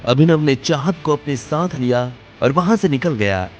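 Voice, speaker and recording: A man's voice: a little high, lively intonation, moderate pace, a little mellow, a little hiss or hum. A man narrating an audio drama in a scripted, dramatic storytelling style.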